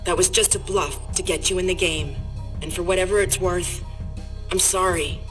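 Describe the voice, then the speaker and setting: An actress playing an insistent, direct intelligence officer in a video game. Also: female voice, lowish voice, fairly even intonation, moderate pace, a bit bright, lightly acted. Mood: resolute, grave. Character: urgent, steely female intelligence officer. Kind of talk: video game cutscene acting. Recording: music underneath.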